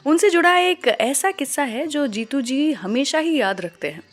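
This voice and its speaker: A woman's voice: high voice, animated, normal speed, faint music behind. A woman with an Indian accent hosting a radio show, presenting scripted stories to listeners.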